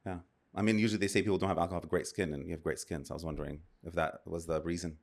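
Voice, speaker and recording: An American man hosts a relaxed talk show in a low voice. He is enthusiastic and rambles, often restarting his sentences. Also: racing. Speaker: host. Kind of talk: casual chat.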